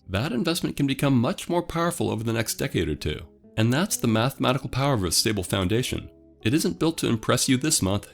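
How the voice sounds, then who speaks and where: A middle-aged man with a low voice runs his own investing channel and talks through a scripted explainer at a steady, measured pace. His voice is slightly off from a cold. Faint music plays underneath. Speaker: dividend investing channel host.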